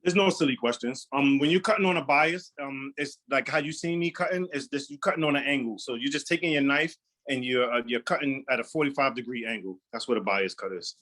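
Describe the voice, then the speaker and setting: Loud-voiced chef with a rambling manner. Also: male voice, medium pitch, a little fast, hardly any pauses. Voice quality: loud, carrying voice. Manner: rambling, repeats words, many fillers. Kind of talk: casual live cooking demo Q&A.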